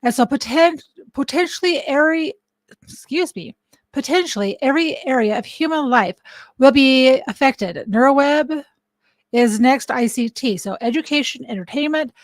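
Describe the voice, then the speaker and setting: A high-pitched woman hosts a casual livestream and talks things through as she searches for information. She corrects herself often and stops mid-thought. Her voice is close and dry, with no room sound. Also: lively intonation, normal speed, no echo. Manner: thinks aloud, stops to look things up, self-correcting. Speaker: livestream host.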